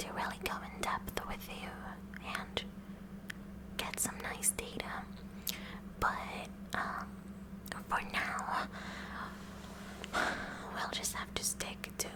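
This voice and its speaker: Kooky, eager high school science club president played by a woman, nerdy and rambling, whispered ASMR roleplay, unhurried delivery. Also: lightly acted, low-quality recording. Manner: rambling, halting, nerdy, addressing the listener directly.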